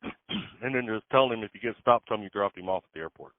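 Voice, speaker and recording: Deep-voiced trucker on a phone line. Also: male voice, animated, fast, no room sound. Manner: laid-back, plain-spoken storytelling. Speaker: truck driver. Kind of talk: casual phone chat. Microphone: phone call.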